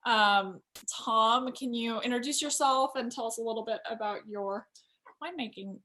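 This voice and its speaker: A woman with a slightly high-pitched voice speaks as the host of a virtual tasting. She presents casually and conversationally, as if chatting to the people watching. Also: normal speed, bright, forceful tone.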